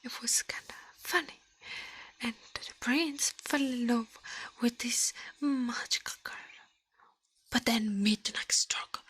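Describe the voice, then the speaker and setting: A woman's voice: fairly high pitch, a bit bright, low-quality recording. A woman whispering breathily in an ASMR style, close to the microphone, with playful repetition. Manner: slow, playful repetition of syllables and words. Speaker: ASMR streamer. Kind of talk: whispered ASMR storytelling with repeated nonsense trigger sounds.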